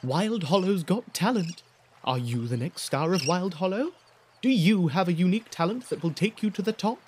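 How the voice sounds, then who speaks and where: A man narrating an audio drama like a wry storybook teller, measured and theatrical. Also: high-pitched, lively intonation, a little hiss or hum. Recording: very loud music bed behind.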